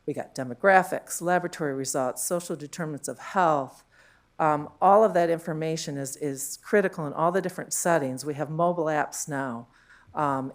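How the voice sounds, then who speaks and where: A woman with a deep, low voice talks to a conference room through a handheld mic. She is chatty and loose, with frequent fillers and questions tagged onto her points. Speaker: conference panel speaker. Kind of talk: conference panel talk.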